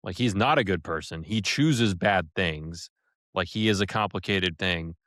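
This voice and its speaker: A man co-hosting a casual podcast, relaxed and opinionated, often restarting his sentences. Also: deep voice, measured pace, no room sound, clean studio sound. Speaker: podcast co-host.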